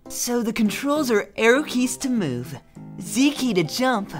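A woman voicing a gentle, friendly goat child in a comic dub, hesitant and easily flustered. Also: a little high, sing-song, measured pace, faint music behind. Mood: friendly coaching.

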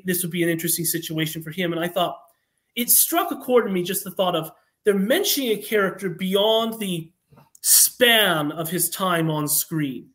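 High-pitched man, earnest and halting. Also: a bit bright. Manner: earnest, halting, self-correcting. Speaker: guest writer. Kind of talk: interview answers, storytelling. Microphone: online call.

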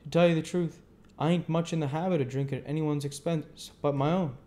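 A man's voice: fairly high pitch, steady tone, brisk, mellow, voice pushed up, close to an everyday voice. A man reading aloud voices a plain-spoken male village blacksmith with homely, hesitant speech.

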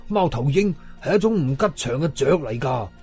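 A man doing a jumpy, high-pitched village uncle. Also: moderate pace, soft background music, close to an everyday voice. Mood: grumbling. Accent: Hong Kong Cantonese. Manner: excitable, easily startled.

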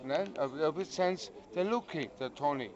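A middle-aged man answering questions outside a café, halting and hesitant, repeating his words as he searches for them. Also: a little high, animated, crowd noise. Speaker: interviewee. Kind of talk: casual street chat. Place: outdoors in front of a café. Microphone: handheld camera nearby. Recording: muffled lo-fi sound with people around.

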